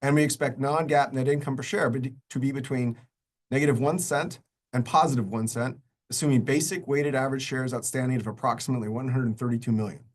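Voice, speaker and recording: A man presenting scripted quarterly financial results as a company executive, in a formal, measured and businesslike manner. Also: medium pitch, a little mellow. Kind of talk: scripted earnings-call presentation.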